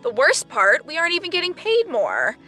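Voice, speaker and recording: Woman plays exasperated caller, high, bright, very animated, pleading. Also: a little fast, faint music behind, heavily acted. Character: fed-up young female office worker caller. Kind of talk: scripted comedy sketch.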